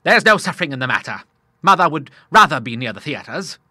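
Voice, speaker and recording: A man voicing a jovial old retired admiral who speaks in a loud, hearty, booming way. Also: big pitch swings, fairly quick, no room sound, studio quality, exaggerated voice.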